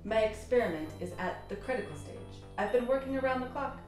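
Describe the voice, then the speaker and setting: A brusque, defiant woman doctor played by an actress in a radio play. Her delivery swings widely in pitch, in a heavily echoing space. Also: expressive, brisk, natural voice. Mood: irritated, defiant. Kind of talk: radio drama acting. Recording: very echoey room, faint music.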